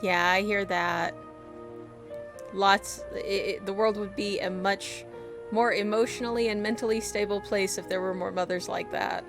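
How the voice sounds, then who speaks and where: A woman's voice: medium pitch, fairly even intonation, normal speed. A woman giving casual, playful, reactive commentary as she streams a game. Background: soft game music.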